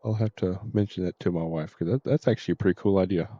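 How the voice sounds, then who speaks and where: Very deep, mellow woman streamer. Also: fast, no room sound. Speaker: live streamer playing a game. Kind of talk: casual stream chat. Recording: muffled mic with game music behind. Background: video game music and dialogue.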